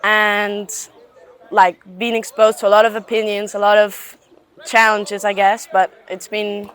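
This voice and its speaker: An eighteen-year-old woman from Scotland, chatting casually in an interview and often filling pauses with "like". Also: mid-range voice, flat delivery, a bit bright. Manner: chatty, leans on "like" fillers. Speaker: student athlete.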